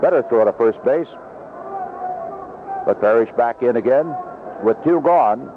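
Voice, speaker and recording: A man's voice: mid-range voice, animated, measured pace. An American man calling baseball play-by-play on the radio in a folksy, descriptive manner. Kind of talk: live radio sports commentary. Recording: dull, noisy vintage radio broadcast.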